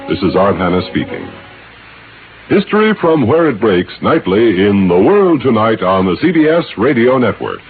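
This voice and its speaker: A man's voice: lowish voice, very animated, normal speed, phone-quality sound, crowd noise. An American man announcing in scripted vintage network radio style, as on an old broadcast. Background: loud music.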